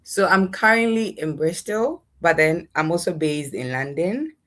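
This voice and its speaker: Low-voiced Ghanaian woman. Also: animated, normal speed, a bit bright. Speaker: interview guest. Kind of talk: conversational interview answers. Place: in a room at home, online interview.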